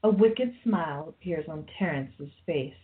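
A woman's voice: sits low, expressive, measured pace, slight background noise. Muffled woman narrating a radio play.

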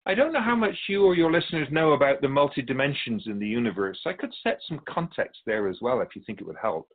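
Through thin, phone-like audio comes a calm otherworldly being, played by a man, with a mid-pitched voice. He explains humanity to humans in a measured, knowing, teacherly manner, as a guest in a radio drama interview. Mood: calm, explanatory.

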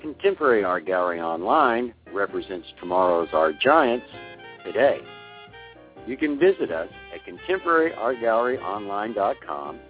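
A man's voice: on the low side, very animated, moderate pace. A man hosting an interview show, reading a polished intro and asking questions. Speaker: radio show host. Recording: tinny phone-like audio with faint music.

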